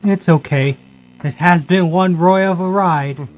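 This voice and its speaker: A man's voice: high-pitched, measured pace, a little hiss or hum. An actor playing a timid, anxious young male tagalong in a comic radio drama, nervous and meek. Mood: wistful.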